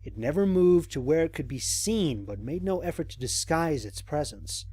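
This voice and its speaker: An actor playing a cautious, observant male space explorer, recording mission logs in a measured, reflective way for an audio drama. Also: on the high side, expressive, mellow, husky, no echo. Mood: wary, reflective. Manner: measured, reflective, formal diction with deliberate pauses. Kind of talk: scripted audio drama monologue.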